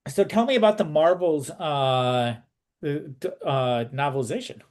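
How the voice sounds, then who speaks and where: Male interviewer, somewhat high voice, informal, halting roundabout phrasing, casual conversation.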